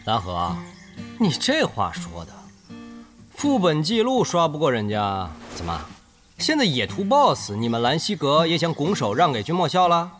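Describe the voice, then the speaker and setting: A man's voice: a little high, wildly swooping pitch, normal speed, a bit bright. A man playing a sneering, suspicious rival guild leader in a Mandarin audio drama, sarcastic and theatrical. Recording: music behind the dialogue.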